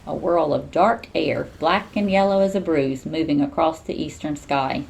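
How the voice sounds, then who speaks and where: A woman reading a children's storybook aloud in an expressive, teacherly way. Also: deep voice, moderate pace, vocal fry, poor audio.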